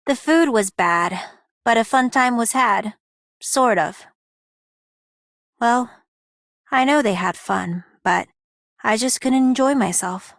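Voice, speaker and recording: An actress voicing a wry, world-weary, gruff-talking woman in a video game. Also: medium pitch, dry recording, clean studio sound. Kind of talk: video game character voice lines.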